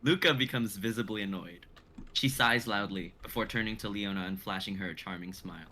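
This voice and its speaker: A man's voice: lowish voice, fairly quick, sharp tone, a little hiss or hum. A young man reading stage directions as dramatic narration in a live script reading. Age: young adult.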